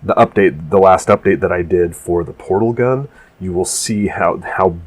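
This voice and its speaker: A man's voice: low-pitched, normal speed. A man with a stuffy, nasal voice from allergies, casually narrating his prop-building work with lots of restarts. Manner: rambling, full of restarts and fillers.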